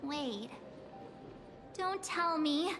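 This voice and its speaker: A woman voicing an earnest young female adventurer in a video game, explaining things clearly. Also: sits high, lively intonation, extremely slow, over music, heavily acted. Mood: earnest, puzzled. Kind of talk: game voice acting.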